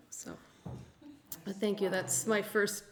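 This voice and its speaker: A woman talking casually and a little haltingly at an open mic, modest in manner. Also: mid-range voice. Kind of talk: casual chat.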